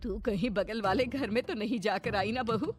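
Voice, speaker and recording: A woman's voice: on the high side, moderate pace, faint music behind, natural voice. A woman voicing a stern, worried middle-aged mother-in-law in a horror cartoon. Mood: suspicious. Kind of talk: Hindi animated horror story dialogue.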